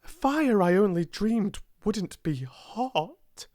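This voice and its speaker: Woman voicing a breathy, high-pitched awestruck little girl. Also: lively intonation, takes it slowly, warm tone, dry recording, clean studio sound. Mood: amazed. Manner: breathy, panting, half-whispered exclamations. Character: young girl, breathless, awestruck and overjoyed with wonder. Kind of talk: storybook character voice in audiobook reading.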